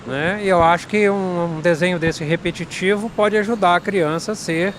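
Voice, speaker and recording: A Brazilian grandfather giving a calm, measured opinion in a street interview. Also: sits high, a little hiss or hum. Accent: Brazilian Portuguese.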